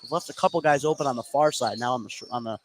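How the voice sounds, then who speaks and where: A man's voice: mid-range voice, racing, a little hiss or hum. A man working as a color commentator on live basketball, explaining plays in casual, conversational remarks. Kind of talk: live sports analysis, conversational.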